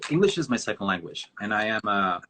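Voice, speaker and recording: A man's voice: lowish voice, big pitch swings, fast talker, bright, forceful tone, rough recording. A Mexican man, a native Spanish speaker with a Mexican accent, answering interview questions in a reflective, rambling way with many restarts. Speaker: guest designer. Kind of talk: live-streamed interview answers.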